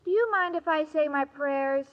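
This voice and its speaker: A woman's voice: high voice, moderate pace, natural voice. An actress playing a dim-witted, sweet young woman with childlike earnestness in a 1940s radio comedy. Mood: childlike, dreamy. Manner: childlike, earnest, deadpan innocence. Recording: muffled old radio recording, clear hiss.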